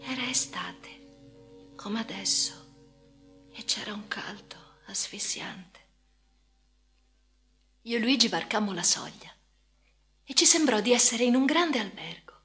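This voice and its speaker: Haunted, intimate patient, music beneath. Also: female voice, a little high, animated, a little slow, pauses often, bright, forceful tone. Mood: haunted, recollecting. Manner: intimate, often dropping to a whisper. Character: haunted woman patient recounting institutional life. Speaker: radio drama actress. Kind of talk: dramatic first-person radio monologue.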